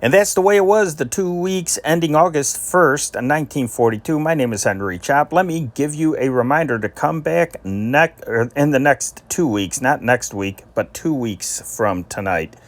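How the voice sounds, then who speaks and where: A man's voice: fairly high pitch, expressive, moderate pace, few pauses, no room sound. A man presenting informally as a show host, in a folksy, conversational way. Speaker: host of an old-time radio show.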